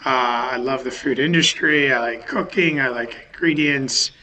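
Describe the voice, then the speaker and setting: A man from Western Massachusetts, a company founder, talking over a video call in a thoughtful, halting way with many restarts. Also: mid-range voice, sharp tone, slight background noise, low-quality recording. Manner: thoughtful, restarts sentences, many um and you know. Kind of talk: remote podcast interview. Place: home or office in a city.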